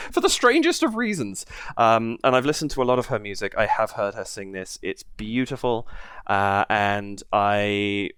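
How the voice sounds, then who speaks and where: A man's voice: on the low side, clean tone, no room sound. A man hosting a song reaction video, talking casually with many ums and uhs while stuffed up with a head cold. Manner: chatty, many ums and uhs, warm thanks to viewers. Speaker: reaction channel host.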